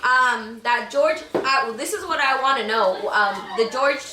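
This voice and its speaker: A woman's voice: on the high side, a little slow, recorded from a distance, crowd noise. A woman co-hosting a podcast in casual chat, rambling with lots of fillers and trailing phrases. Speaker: podcast co-host. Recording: echoey room, off-mic.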